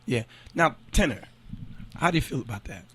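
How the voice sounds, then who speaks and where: A man hosting a casual radio interview, friendly and quick to laugh, with plenty of fillers. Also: mid-range voice, animated, a crowd in the background. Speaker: radio show host.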